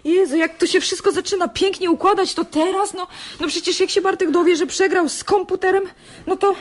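A woman's voice: very high-pitched, steady tone, a little fast. An actress playing a chatty, infatuated young chess champion in a radio drama, hesitant and repeating her words. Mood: giddy, dismissive. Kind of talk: Polish radio drama acting.

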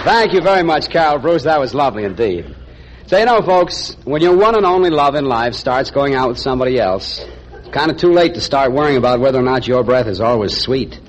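A man's voice: mid-range voice, expressive, brisk, background noise. An American man announcing on 1940s radio, reading a scripted sponsor's commercial in the sales style of the era. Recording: 1940s radio broadcast recording.

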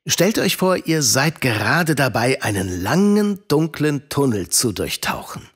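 A man's voice: medium pitch, sing-song, a little slow, fairly bright tone, husky, exaggerated voice. A man playing a kindly, patient grown-up storyteller explaining things to children in an audio drama. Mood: storytelling.

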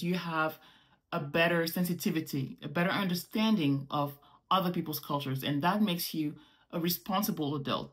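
A woman in her thirties from Morocco, with French as her first language, talking casually to camera at home as a vlogger and gesturing as she explains. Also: on the low side. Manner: animated, gestures with hands while explaining. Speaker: travel vlogger. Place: small home room indoors.

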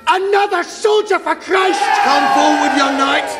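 A man playing a fervent medieval preacher in a historical radio drama, declaiming a drawn-out call to holy war. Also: extremely high pitch, a bit bright, soft background music, some chatter behind. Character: fervent medieval crusade preacher rallying knights.

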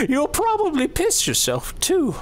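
A man's voice: extremely high pitch, huge pitch swings, slow pace, fairly bright tone, full character voice. A man playing a smug, menacing death row officer in an audio drama, with a mocking, folksy, theatrical manner. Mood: smug menace. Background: music.

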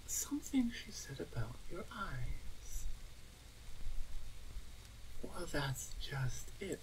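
A woman voicing a reserved, dominant orc huntress in an ASMR roleplay, soft-spoken and measured, often slipping into a whisper. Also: low voice, noisy background, heavily acted.

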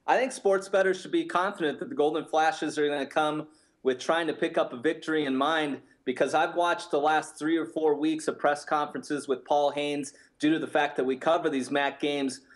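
A man in his thirties giving confident sports betting analysis in a studio, steady and packed with stats. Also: a little high, moderate pace. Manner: confident, steady, stat-heavy. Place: studio set, indoors.

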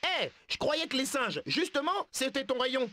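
A cheeky young zebra. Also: male voice, very high voice, big pitch swings, moderate pace, bright, forceful tone, dry recording, heavily acted. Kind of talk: video game dubbing.

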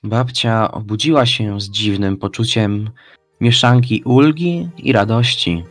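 A man's voice: on the low side, a little slow, close to an everyday voice. A man playing the narrator of a Christmas tale, reading scripted narration in an amateur drama. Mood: storytelling.

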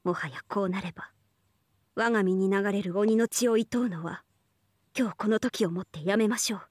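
A woman playing a devoted young half-oni samurai archer in a mobile game, speaking formal, reverent Japanese in a soft voice. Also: medium pitch, normal speed, breathy edge, no room sound, lightly acted. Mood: solemn, earnest. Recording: studio voice over game music.

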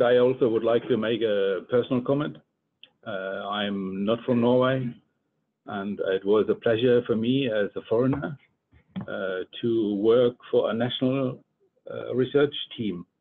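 Older man, phone-like sound. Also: husky. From Germany. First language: German. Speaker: research scientist giving a presentation. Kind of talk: conference presentation. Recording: muffled phone-like line, rumbling noise.

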